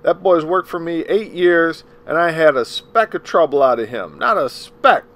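A man voicing an indignant older employer, speaking loudly and defiantly. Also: fairly high pitch, moderate pace, pitched above the performer's own voice, close to an everyday voice. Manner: loud, defiant. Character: indignant older male employer standing up in court.

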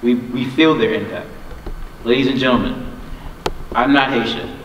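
A man's voice: mid-range voice, normal speed, muffled recording. A young man performing spoken word poetry on a theater stage, with a rhythmic, declamatory delivery. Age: young adult. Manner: rhythmic declamation with repeated phrases. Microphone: microphone on a stand. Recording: echoey hall, distant, some audience noise.

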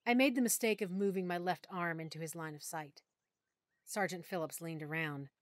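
A woman narrating a full-cast audiobook in first person, with expressive, clear storytelling. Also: fairly low pitch, moderate pace, dry recording. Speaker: narrator and lead character.

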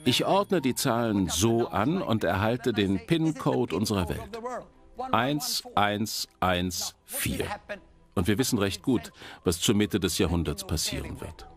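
A man giving an explanatory, emphatic documentary lecture. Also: on the low side. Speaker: presenter lecturing with statistics. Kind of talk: documentary lecture voice-over in German dub.

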